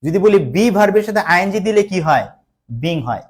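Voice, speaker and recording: A man's voice: high voice, lively intonation, fairly quick. A man teaching an online English grammar class for exam preparation. He explains patiently, repeats key points and puts questions to his students. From Bangladesh.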